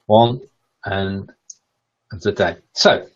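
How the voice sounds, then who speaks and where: A man's voice: sits low, slow. A man co-hosting a casual language lesson as the learner, hesitant and stumbling over foreign words. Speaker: co-host and German learner. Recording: dull lo-fi audio.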